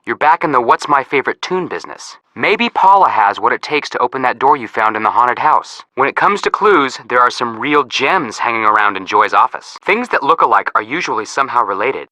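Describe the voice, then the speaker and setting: A young American detective sidekick from a video game, teasing and eager. His delivery is very lively and swings freely, with a bright, punchy tone. The sound is dry and close. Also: medium pitch, fairly quick, few pauses, dry recording, rough recording. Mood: sly, eager. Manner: playful, wry, quippy. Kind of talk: video game voice acting, phone hints.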